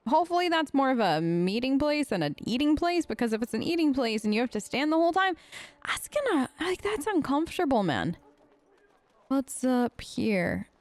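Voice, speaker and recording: High-pitched, chatty woman gamer. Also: very animated, normal speed, no room sound. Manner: wry, chatty, informal. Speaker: let's play host. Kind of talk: casual in-character gaming commentary.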